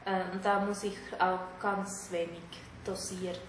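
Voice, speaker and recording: Slow Sri Lankan woman in a noisy room. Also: on the low side, level tone. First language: Tamil. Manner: hesitant, simple second-language phrasing. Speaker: interviewee, therapist. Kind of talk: interview answers. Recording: echoey room, clear background noise.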